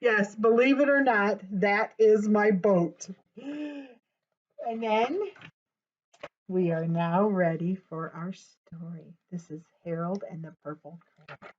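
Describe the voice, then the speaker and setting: A woman presenting a storytime for young children, talking to them directly in an animated way as she reads aloud. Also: medium pitch, slow, highs cut off.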